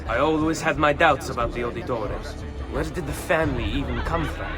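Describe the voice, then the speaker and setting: A man's voice: mid-range voice, brisk, loud music behind the voice, a loud crowd, lightly acted. An actor playing a haughty, scornful nobleman in a video game, disdainful and gossiping. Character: haughty, scornful Italian nobleman, a political schemer.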